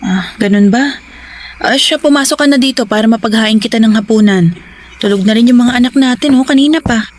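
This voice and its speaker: High-voiced gentle Filipino wife and mother played by an actress. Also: brisk, a little hiss or hum, lightly acted. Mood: calm, domestic. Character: caring, quietly suspicious young wife and mother. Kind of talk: Tagalog radio drama acting.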